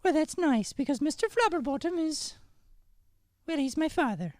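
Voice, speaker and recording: A man's voice: very high-pitched, animated, moderate pace, a little mellow, dry recording, clean studio sound. A man voicing a fussy, secretive young woman with an Irish accent in an improvised radio-style comedy. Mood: confiding. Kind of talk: improvised old-time radio comedy acting.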